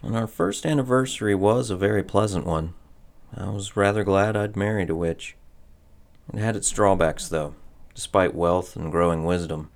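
A man's voice: low-pitched, moderate pace, gravelly. An actor playing an ageless, henpecked husband of a witch in a radio drama, a wry storyteller who gets flustered around his wife. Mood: wry, reminiscing. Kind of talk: old-time radio drama narration and acting.

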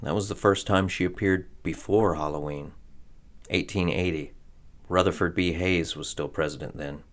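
A man plays a henpecked, ageless husband of a witch in old-time radio drama. His low-pitched voice has a wry, ruminative storyteller's manner, easily flustered around his wife. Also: steady tone, moderate pace, natural voice. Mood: wry, reminiscing.

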